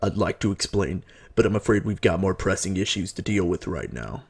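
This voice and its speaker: A man voicing a calm, mysterious, protective male fighter in a story, composed, grave and measured. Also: low-pitched, slightly rough. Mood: cool, knowing.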